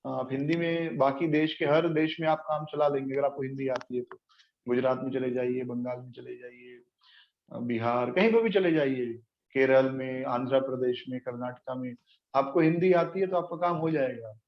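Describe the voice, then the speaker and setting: A man's voice: mid-range voice, moderate pace. A man giving an explanatory spiritual lecture as a teacher, asking his listeners rhetorical questions and mixing in English terms. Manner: asks the listeners rhetorical questions and checks they follow. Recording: echoey room, dull lo-fi sound, faint noise.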